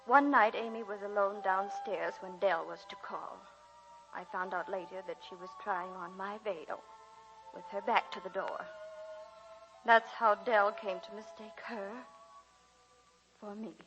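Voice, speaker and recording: Bright, earnest young American woman in radio drama. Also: mid-range voice, fairly even intonation, measured pace, pauses often, faint music behind, poor audio. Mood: wistful reminiscing. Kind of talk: 1940s radio drama acting with narration. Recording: vintage 1940s radio recording.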